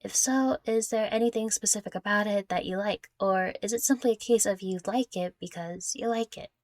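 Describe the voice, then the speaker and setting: A woman's voice: mid-range voice, brisk, darkish tone, no room sound. A young adult woman chatting casually through viewer questions in a rambling, giggly, self-deprecating way, close to the microphone. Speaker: content creator answering viewer questions. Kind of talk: casual Q&A chat.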